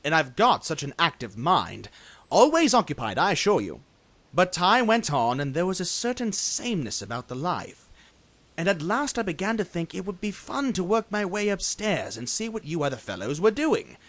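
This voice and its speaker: A man voicing a lazy, bashful gentleman dragon in a dramatized storybook, rambling on in genteel, eager, old-fashioned talk. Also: high-pitched, big pitch swings, normal speed, no room sound, heavily acted. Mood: rambling storytelling. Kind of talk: dramatized storybook character acting.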